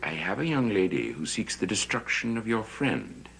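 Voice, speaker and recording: An actor playing a sly, condescending gentleman schemer in a gothic soap opera, mockingly polite, with music behind him. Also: fairly low pitch, sing-song, moderate pace, vocal fry. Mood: smooth, scheming. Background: dramatic music behind the voice.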